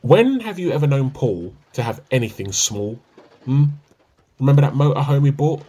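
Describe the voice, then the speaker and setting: A man's voice: medium pitch, big pitch swings, normal speed, mellow, husky. An amateur actor, a man, playing an easygoing, evasive husband in a conversational domestic radio play. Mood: easygoing banter. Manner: conversational, bantering. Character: easygoing, evasive husband, bad at keeping secrets.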